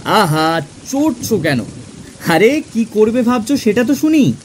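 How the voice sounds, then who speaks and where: A man playing a genial middle-class clerk who narrates his own tale in an expressive, theatrical audio drama style. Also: sits high, measured pace, a little hiss or hum. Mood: genial, teasing. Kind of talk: dramatised first-person audio story narration. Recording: music under the voice.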